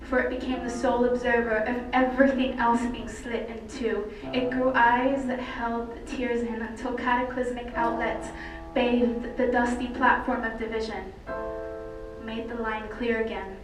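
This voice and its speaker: A woman from Cyprus recites spoken-word poetry in a fairly high voice, phrasing it deliberately with dramatic pauses. The sound is heavily echoing and picked up from some distance. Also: little pitch movement. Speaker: poet. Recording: very echoey, distant mic, loud music. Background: loud music.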